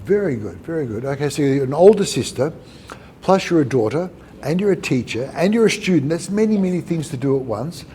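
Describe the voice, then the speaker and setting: A middle-aged man hosting a conversational interview, speaking in a measured way, asking questions and summing up answers. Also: on the high side, animated, dark tone, slight background noise. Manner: measured, repeats and sums up answers. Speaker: podcast host.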